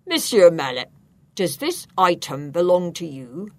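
A woman's voice: on the low side, very animated, unhurried, darkish tone, no room sound, pitched below the performer's own voice. A woman voicing a stern, thin policeman in a storybook, speaking with formal, firm authority as he interrogates. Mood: formal, probing.

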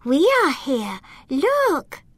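A woman voicing a group of tiny grateful fireflies in a storybook, soft and eager. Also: sits high, huge pitch swings, extremely slow, dry recording, pitched above the performer's own voice, heavily acted.

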